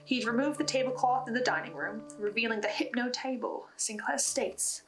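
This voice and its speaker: Female recap host, higher voice, scripted storytelling with personal asides, faint music underneath. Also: normal speed, a bit bright. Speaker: host of a recap and theory video on a horror podcast.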